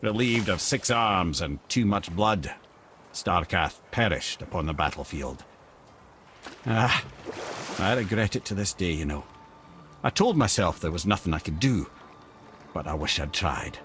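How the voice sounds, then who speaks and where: An old Scottish sage from a video game, wry and lilting. His pitch swings widely as he spins tales like a seasoned bard of the gods. A faint wash of boat and water noise sits behind him. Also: lively intonation, a little slow, long pauses, fairly bright tone. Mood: grave storytelling, then regretful. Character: old male talking head, wry storytelling former advisor of gods. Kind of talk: dramatic video game storytelling.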